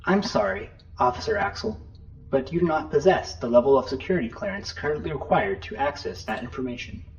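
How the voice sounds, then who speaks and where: A man's voice: on the high side, big pitch swings, brisk, creaky, highs cut off. A man playing a formal, courteous and unhelpful shipboard computer in a science fiction audio drama. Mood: polite, unbothered. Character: polite, unhelpful male shipboard computer on a spaceship.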